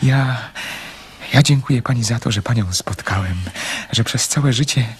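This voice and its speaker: An actor playing a shy, devoted young man in love in a radio drama, speaking in a husky, halting, stammering and earnest way. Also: medium pitch, a little hiss or hum, close to an everyday voice. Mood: tender.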